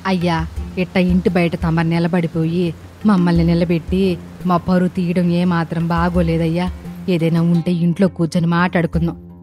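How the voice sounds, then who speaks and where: A woman's voice: fairly low pitch, little pitch movement, normal speed, warm tone, faint music behind, voice pushed down, natural voice. A woman playing an older village mother who speaks in a deferential, pleading manner. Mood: anxious pleading.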